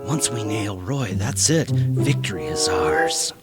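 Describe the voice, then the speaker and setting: A man's voice: fairly low pitch, big pitch swings, takes it slowly, loud music behind the voice. A man playing a smug, competitive, macho businessman in an amateur radio play. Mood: smug, scornful.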